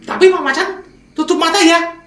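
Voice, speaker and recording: A man's voice: very high voice, moderate pace, pushed and bright, echoey room, recorded from a distance, voice pushed up. A man voicing a small, clever mouse deer in a children's fable, wheedling and childlike. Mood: sly.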